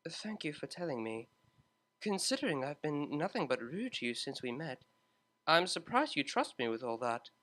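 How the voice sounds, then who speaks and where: Male narrator doing a prim, well-mannered cellist mare, quiet and bright. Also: fairly high pitch, very animated, normal speed. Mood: earnest. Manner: formal, polite, quietly reserved. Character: refined, prim young female cellist pony.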